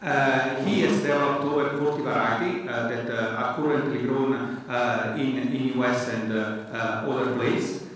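A man's voice: medium pitch, fairly even intonation, normal speed, hardly any pauses, fairly bright tone. Hesitant man in an echoey hall. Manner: hesitant, many fillers and restarts. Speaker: introducer at a conference. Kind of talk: formal introduction speech. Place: large hall. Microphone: handheld mic at a lectern. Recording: echoey hall, far from the mic.

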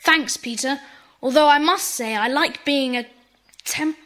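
An eager, polite British schoolboy speaks in a high voice. The delivery is earnest, in the manner of a children's audio play. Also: female voice, a little slow, fairly bright tone. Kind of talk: children's audio drama acting.

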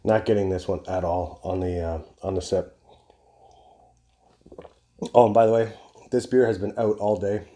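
A man's voice: low voice, fairly even intonation, normal speed, pauses often, warm tone. A man in his forties chatting casually to camera as a reviewer, enthusiastic and rambling, with casual swearing. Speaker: beer reviewer, video host. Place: indoor home bar room.

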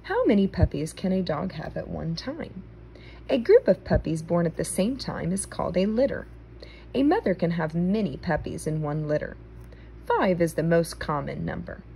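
A woman reading a children's nonfiction book aloud, phrasing it clearly for young listeners, recorded indoors on a handheld phone. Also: lowish voice, sing-song, normal speed, warm tone, slight background noise, low-quality recording.

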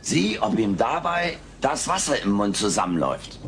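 Wisecracking, scheming alien, sitcom audio drama; lowish, animated, mock-innocent. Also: male voice, moderate pace, crowd noise. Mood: cocky. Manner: glib, theatrical, mock-innocent. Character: wisecracking, scheming furry alien houseguest, brash and cocky. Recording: noisy old cassette audio drama.

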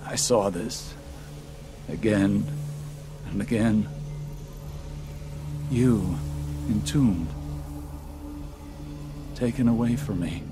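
A solemn sorcerer talks in a low, very unhurried voice. He pauses between weighty phrases, loving and sorrowful, in video game drama style over background music.

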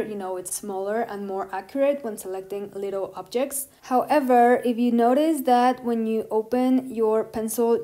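A woman's voice: on the high side, darkish tone. A woman hosting a tutorial, patiently explaining each step as she works on a tablet. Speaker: tutorial host. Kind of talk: step-by-step app tutorial narration. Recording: roomy echo.

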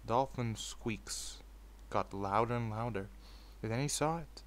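A man's voice: fairly low pitch, a little slow. A man in an informal, sweary manner, hosting a video and moving between casual commentary and storytelling. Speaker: video host reading fan fiction aloud.

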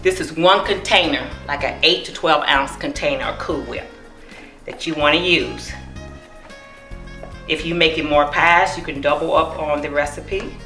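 A middle-aged home cook with a fairly low voice explains each step of a recipe warmly and encouragingly. She is recorded on a camera mic in an echoey kitchen. Music plays clearly in the background. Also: normal speed. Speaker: cookbook author and home cook. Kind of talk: cooking demonstration.

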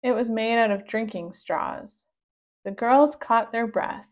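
A woman reading a children's storybook aloud in a measured narrating style for young listeners. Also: fairly high pitch. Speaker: storybook reader. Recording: dull, lo-fi sound.

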